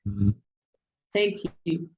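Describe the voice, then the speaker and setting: A woman interviewing virtual assistants on a video call, excitable and quick to speed up when she gets going. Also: low-pitched, muffled recording.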